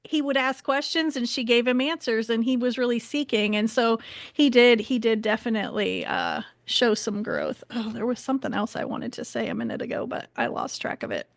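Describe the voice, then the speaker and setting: A woman's voice: a little high, expressive, moderate pace, creaky, dry recording. A woman co-hosting a podcast, chatting casually about a television show. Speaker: podcast co-host. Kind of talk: casual TV recap discussion.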